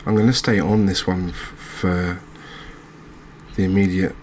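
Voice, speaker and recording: Casting: male game streamer, deep and flat-toned, understated ASMR commentary, slight background noise. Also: unhurried. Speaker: gaming channel host.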